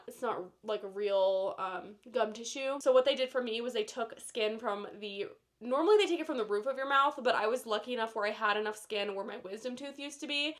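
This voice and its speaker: A woman's voice: a little high, fairly bright tone. A woman chatting casually to camera while doing her makeup. She sounds slightly nasal and stuffed up from a cold, and she rambles with lots of "like" and "you know.". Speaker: beauty vlogger.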